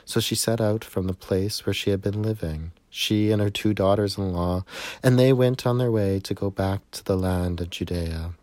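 A man reading a scripture narrative aloud in a measured way, recorded indoors in a church sanctuary. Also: on the low side, a little mellow, no echo. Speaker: scripture reader.

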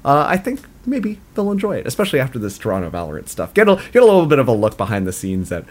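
Animated, laughing podcast host. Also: male voice, medium pitch, very fast. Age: late 20s. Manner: laughs often, animated and self-mocking. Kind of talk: casual podcast chat.

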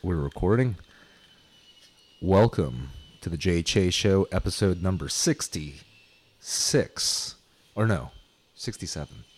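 A man's voice: animated, slow pace, pauses often, breathy edge. A middle-aged man hosting a podcast, talking casually with many fillers and restarts. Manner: rambling, hesitant, laughs into high pitch. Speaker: podcast host. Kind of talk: casual chat.

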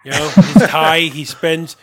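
Man, co-host, playful, riffing, slightly high voice. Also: steady tone, unhurried. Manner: playful, riffs on the names with made-up stories. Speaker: podcast co-host. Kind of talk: casual podcast chat.

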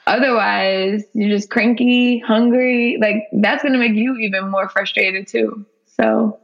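A woman with a raspy voice in a casual chat, giving friendly advice and laughing often. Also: fairly high pitch, fairly even intonation, measured pace, lo-fi sound.

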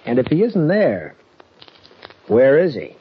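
An actor playing a sharp, brisk man from the East in an old radio drama. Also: mid-range voice, huge pitch swings, slightly rough, exaggerated voice. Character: sharp Eastern man helping the hero. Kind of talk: 1940s radio acting. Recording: dull old radio recording with audible hiss.

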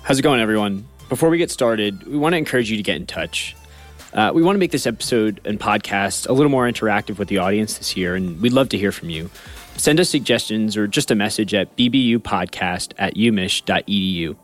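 A man's voice: on the low side, lively intonation, fairly quick, faint music behind. A man co-hosting a podcast, reading listener mail and asking questions with a chatty, filler-heavy manner. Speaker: podcast co-host.

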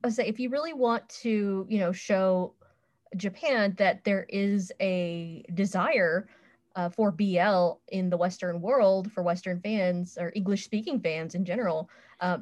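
A woman's voice: lowish voice, steady tone, unhurried, a little mellow, muffled recording. A woman co-hosting a podcast, giving her opinions in casual conversation. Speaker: podcast co-host. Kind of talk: casual review chat.

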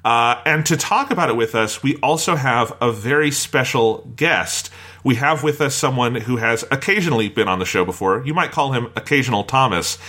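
Bright-voiced man hosting a podcast. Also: medium pitch, animated, moderate pace. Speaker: podcast host. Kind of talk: conversational podcast introduction and chat.

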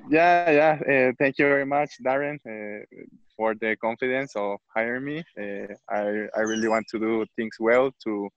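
A footballer from Mexico, speaking English with a Mexican Spanish accent, hesitant and searching for words. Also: male voice, medium pitch, moderate pace, no room sound, lo-fi sound. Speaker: football player. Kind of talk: interview.

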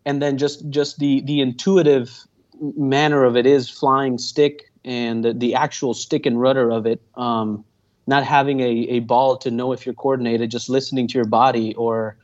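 A man's voice: medium pitch, a little mellow. Guy in his 20s, rambles a lot, ums and restarts, muffled lo-fi sound. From Venezuela. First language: Spanish. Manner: earnest, rambling, with many fillers and restarts. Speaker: guest pilot. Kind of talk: casual interview answers. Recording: dull muffled audio.

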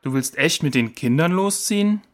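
A man's voice: on the high side, animated, normal speed, natural voice. A man playing a cautious, dutiful jungle station worker in a children's audio play, firm and reasoning in delivery.

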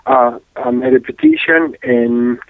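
A man from Argentina, speaking as an interview guest, who talks haltingly with many "uh" and "um" fillers. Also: mid-range voice, huge pitch swings, creaky, no echo. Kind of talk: casual testimony interview. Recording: dry tinny phone-like line.

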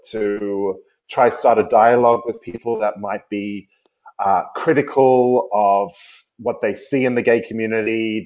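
Lower-voiced Australian man, measured. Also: darkish tone, highs cut off. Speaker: podcast guest. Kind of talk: interview answers. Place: at home in London. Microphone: remote call.

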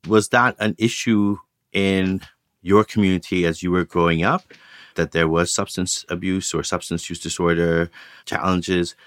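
A man working as an interviewer, asking measured, carefully worded questions. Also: deep voice, steady tone, a bit bright, dry recording.

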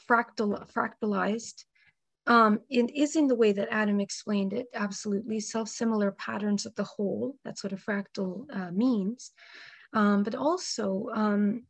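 A woman, hoarse from a cold, speaking slowly and deliberately with many pauses in a spiritual panel discussion. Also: on the high side, no echo. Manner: slow, measured, many ums. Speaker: panel guest.

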